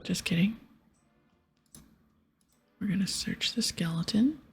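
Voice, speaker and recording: A woman whispers her way through an ASMR game stream. Her voice is breathy and husky with a creaky edge. She mixes read-aloud game text with casual commentary over quiet music. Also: medium pitch, animated, takes it slowly.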